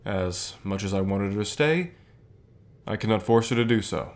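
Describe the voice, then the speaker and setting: A man acts out a calm company boss with a fairly low voice. He talks in a measured, unhurried way, leaving pauses between phrases. It is an intimate ASMR roleplay, encouraging and lightly flirtatious in temper. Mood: warm, sincere. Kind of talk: ASMR roleplay, softly acted one-sided dialogue.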